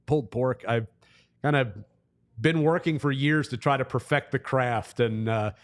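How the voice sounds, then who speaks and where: A man's voice: medium pitch, moderate pace. A man who works as a hockey broadcaster, chatting casually as a guest and thinking aloud as he talks. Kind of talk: casual sports talk interview.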